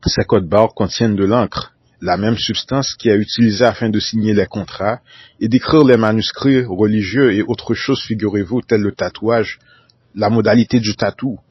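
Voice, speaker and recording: Low husky man lecturing in repeats. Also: steady tone, normal speed, no room sound, muffled recording. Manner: formal, repetitive, repeats whole sentences; spells out words letter by letter. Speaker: conspiracy talk narrator. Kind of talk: solo monologue lecture.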